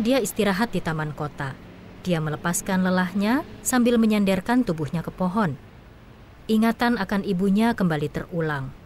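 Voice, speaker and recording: A woman narrating a story in the third person in a calm, even storytelling manner for an audio drama. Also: medium pitch, a little hiss or hum.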